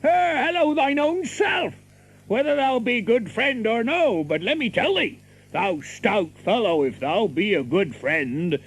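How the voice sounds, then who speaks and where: A stout, blustering tinker in a theatrical adventure drama. His voice is high and gravelly, and he draws his archaic words out slowly. He is boastful and quick to take offence. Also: lively intonation, heavily acted, poor audio. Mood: challenging. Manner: blustering, exclamatory, chuckling, drawn-out delivery. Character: boastful, hot-tempered stout tinker, older than Robin.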